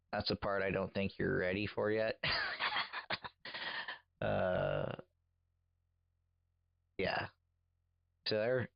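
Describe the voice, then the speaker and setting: Low, creaky male voice for a patient radio hobbyist talking someone through settings, close and dry. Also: dry recording, muffled recording. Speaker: CB radio operator coaching another on audio settings. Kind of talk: casual technical instruction.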